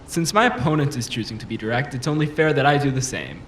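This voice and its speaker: A man's voice: medium pitch, expressive, quick pace, lightly acted. An actor playing a self-assured, combative politician in an audio drama, pressing his argument with polished, insistent rhetoric. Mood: confident, persuasive. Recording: roomy echo, faint background noise.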